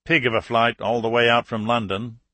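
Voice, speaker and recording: A man voicing a friendly, courteous Australian army colonel in an audiobook, with a brisk military manner. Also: mid-range voice, little pitch movement, bright, forceful tone, no room sound. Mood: friendly, assured. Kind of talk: audiobook character dialogue.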